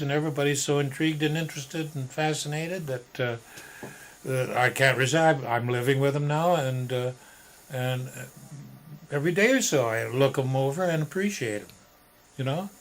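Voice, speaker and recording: An elderly American man reminiscing in an interview, with halting, folksy storytelling. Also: medium pitch, fairly bright tone. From United States, Arizona. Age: 70s or older. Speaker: interviewee, veteran photographer. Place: his home studio. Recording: noisy old interview tape.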